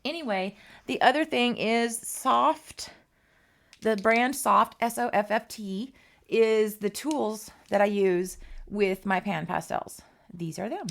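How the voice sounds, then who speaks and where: Hoarse, chatty woman with a gravelly voice. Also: mid-range voice, slow pace. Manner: chatty, rambling, many ums and restarts. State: hoarse, losing her voice. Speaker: art teacher. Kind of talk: casual art tutorial.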